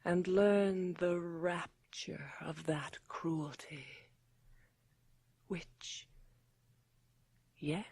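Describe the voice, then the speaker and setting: Languid female vampire, breathy, lowish voice, intense, intimate, dry radio drama sound. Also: darkish tone, no room sound, heavily acted. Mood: fervent. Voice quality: breathy, husky. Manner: languid, intimate, drawn-out delivery. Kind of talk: 1980s radio drama acting.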